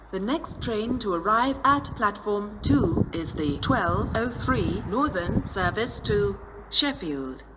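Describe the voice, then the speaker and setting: Woman, automated platform announcer, slow measured read, train and wind noise behind. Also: medium pitch. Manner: measured, evenly spaced delivery. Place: railway station platform.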